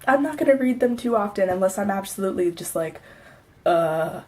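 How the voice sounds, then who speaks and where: A woman's voice: medium pitch, expressive, a little fast, darkish tone. A young woman vlogging in a casual, chatty way, laughing often and a little stuffy from a cold. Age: young adult. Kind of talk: casual chat to camera.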